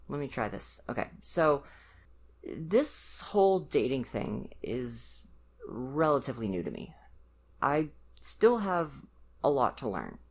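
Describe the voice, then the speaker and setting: A young man playing an awkward, caring college boyfriend in an ASMR roleplay, speaking hesitantly and earnestly straight to the listener. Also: mid-range voice. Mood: nervous, flustered, then earnest, tentative. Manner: hesitant, halting, nervous sighs and self-corrections.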